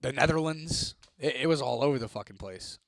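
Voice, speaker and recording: A young man from Ohio, chatting casually on a live stream, laid-back and swearing freely, his voice a little hoarse. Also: medium pitch, normal speed, no room sound, studio quality. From Toledo, Ohio, United States. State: voice getting hoarse. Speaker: livestreamer. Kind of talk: casual live-stream chat reading comments.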